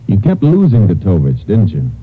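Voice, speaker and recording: Deep-voiced Texas Ranger, old radio. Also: male voice, wildly swooping pitch, normal speed, husky, full character voice. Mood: stern, probing. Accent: American. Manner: terse, probing questioning. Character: calm, methodical male Texas Ranger investigator. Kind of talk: 1950s radio drama acting. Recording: muffled, noisy 1950s radio recording.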